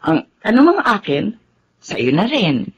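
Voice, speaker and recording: An actress playing a practical, affectionate homeowner in a Tagalog radio drama, with a Filipino accent and a conversational, gently bossy, teasing manner. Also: female voice, medium pitch, sing-song, measured pace, breathy edge, dull audio. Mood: warm, brisk. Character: Remedios, affectionate, practical homeowner woman in a romance.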